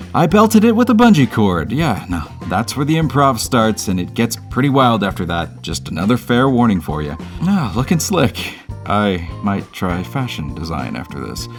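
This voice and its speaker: Low-pitched, wry man narrating over music. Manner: self-deprecating, wry. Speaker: host of a do-it-yourself video. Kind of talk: casual scripted voice-over narration.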